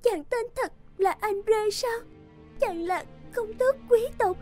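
An actress playing a tender, emotional young maiden in an animated fairy tale, with plaintive theatrical delivery. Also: female voice, very high voice, slow pace, soft background music. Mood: wounded, coy.

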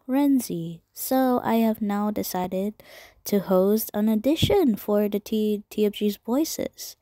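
A woman's voice: medium pitch, warm tone, no room sound, clean studio sound. A woman hosting a casual, informal announcement for her online followers. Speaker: host announcing voice acting auditions.

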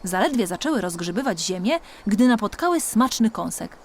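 A woman narrating a scripted children's audiobook story in an expressive storytelling manner. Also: medium pitch, normal speed, slight background noise. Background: music.

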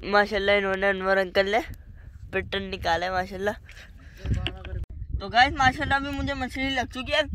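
Vlog host, male, very high voice, calm to-camera talk, noisy open-air boat. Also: moderate pace, long pauses. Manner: calm, repeats pious praise between sentences. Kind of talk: talking to camera for viewers. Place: small fishing boat on a lake, outdoors.

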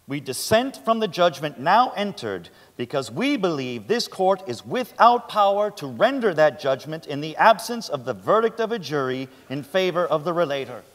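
Man playing a grave judge in a reverberant hall. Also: a little high. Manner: solemn, formal. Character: grave, measured dissenting supreme court justice. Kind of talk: formal dramatic stage reading. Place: large theatre stage, indoors.

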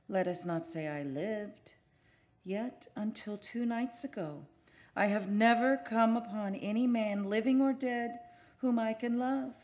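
Casting: actress as lovelorn female ghost, captain's daughter from old Spain. Mid-pitched, solemn, declamatory radio-play style. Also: darkish tone, close to an everyday voice. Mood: wistful. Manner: solemn, archaic, declamatory phrasing. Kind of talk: old-time radio drama acting.

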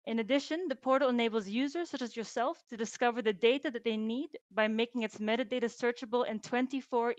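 A woman giving a scripted webinar presentation in a steady, informative tone. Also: on the high side, dry recording, lo-fi sound. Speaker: conference presenter.

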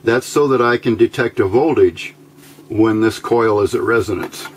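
A man with a fairly low voice talks through a hands-on electronics demonstration. He is informal and halting, working out his words as he goes. The amateur recording, made indoors at a workbench, carries some background noise. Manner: halting, informal, thinking aloud. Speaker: electronics hobbyist demonstrating a homebuilt circuit. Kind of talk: unscripted hands-on tutorial.

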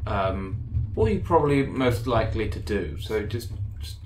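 A man's voice: on the low side, big pitch swings, moderate pace, a little mellow, slight background noise. A man playing a character in a tabletop game, hesitant and stammering. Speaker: player.

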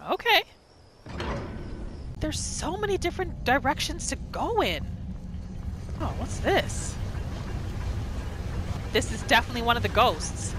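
A woman giving excitable playthrough commentary, exclaiming and talking to the game's characters as she roleplays. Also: high voice, a little slow, long pauses, noisy background. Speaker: gaming video host. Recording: game music behind the voice. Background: video game music and sounds.

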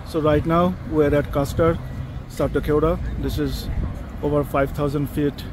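A middle-aged man talks on a handheld camera as he tours restaurants and streets, his voice pitched a little high and held in a flat, even sing-song. Clear background noise of traffic and rooms sits under his friendly, repetitive praise of the food. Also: unhurried. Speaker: travel vlogger. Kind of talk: casual walk-and-talk food vlog. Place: restaurants and streets, indoors and outdoors. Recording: noisy handheld camera audio.

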